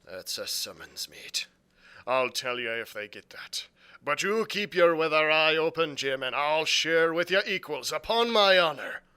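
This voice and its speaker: A man's voice: fairly high pitch, lively intonation, unhurried, pushed and bright, clean tone. A man voicing an ailing, drunken old sea captain in a storybook reading, with rambling, urgent sailor talk. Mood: conspiratorial.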